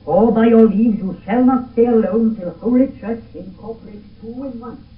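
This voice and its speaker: A man's voice: sits high, moderate pace, lightly acted. A man playing a character in a Shakespeare tragedy on radio, speaking verse in a declamatory, poetic way. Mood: earnest. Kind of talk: 1970s radio Shakespeare acting. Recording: muffled phone-like vintage radio broadcast, distant.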